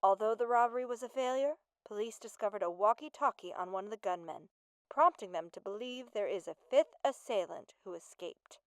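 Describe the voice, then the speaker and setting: Woman acting a crisp, smooth news anchor. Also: mid-range voice, brisk, no room sound, natural voice. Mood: composed, urgent. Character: composed, serious female TV news anchor. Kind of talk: formal news bulletin.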